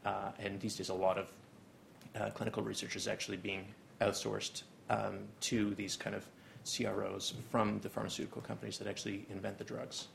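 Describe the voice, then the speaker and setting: A young man with a Canadian accent lectures to a seminar, pausing on frequent ums and uhs. His voice is low and heavily gravelly and stays flat in pitch. A faint hiss sits under the recording. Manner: hesitant, many ums and uhs. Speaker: guest lecturer. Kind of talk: academic seminar talk.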